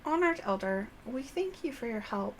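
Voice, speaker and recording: Woman reading as an earnest, higher-pitched young nobleman. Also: animated, normal speed, a little hiss or hum. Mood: concerned, courteous. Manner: formal, earnest, courteous. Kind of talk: game dialogue read aloud.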